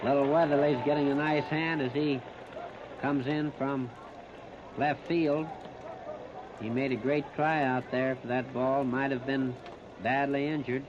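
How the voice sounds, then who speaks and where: A man's voice: medium pitch, steady tone, a little slow, long pauses. American 1930s ballgame radio man, muffled recording. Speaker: baseball radio commentator. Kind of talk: 1930s live baseball play-by-play. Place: outdoor ballpark broadcast. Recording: noisy, muffled 1939 radio disc recording.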